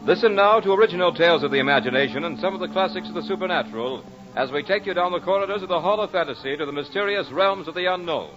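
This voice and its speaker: An actor playing an ominous, grandiose host of an old radio horror series, announcing in a theatrical, declamatory way. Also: male voice, sits high, expressive, fast. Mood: formal, inviting. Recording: muffled old radio recording, music behind.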